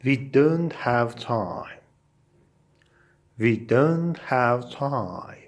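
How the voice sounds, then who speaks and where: Man, mid-pitched voice, very slow pace, animated intonation, English lesson, short repeated phrases. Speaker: English teacher.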